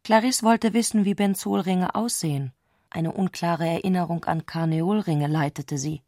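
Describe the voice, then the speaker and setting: A woman's voice: fairly low pitch, moderate pace, darkish tone, dry recording, studio quality. A woman narrating a literary radio drama from a prose text.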